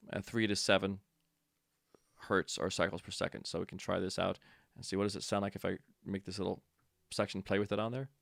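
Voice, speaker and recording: A man's voice: fairly low pitch, vocal fry, dry recording, studio quality. A man teaching audio production in a casual tutorial, explaining step by step and thinking aloud as he goes.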